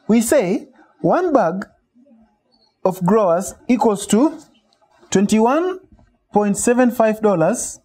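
A male instructor gives a step-by-step lesson. His voice is mellow and a little husky, and the pitch moves up and down a lot. He poses questions and then answers them himself. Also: expressive, takes it slowly, long pauses. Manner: didactic, asks and answers own questions. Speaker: poultry farming instructor. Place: small shed with metal walls.

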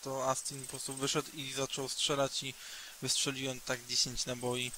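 A man playing a tabletop roleplaying game, haltingly talking through his plans and repeating words as he goes. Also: medium pitch, completely flat delivery, sharp tone, rough recording. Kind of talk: improvised in-game discussion.

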